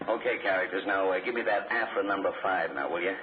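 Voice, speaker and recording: An actor playing an impatient, sarcastic radio director in a 1940s radio drama, halting and then biting. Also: male voice, low-pitched, lightly acted. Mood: fussy, searching. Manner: hesitant restarts turning to biting sarcasm. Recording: tinny, noisy old radio recording.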